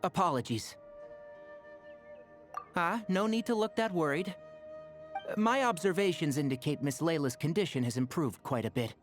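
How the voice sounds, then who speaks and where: A man's voice: fairly high pitch, lively intonation, normal speed, pauses often, faint music behind, lightly acted. A man playing a courteous, composed young healer in a video game, polite, precise and reassuring. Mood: calm, reassuring.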